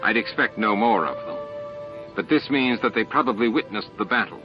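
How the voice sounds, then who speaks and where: Man doing a gruff security officer, muffled. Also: fairly low pitch, brisk, over music, natural voice. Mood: dry, grudging. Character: gruff, matter-of-fact male station security officer. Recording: muffled, band-limited tape audio.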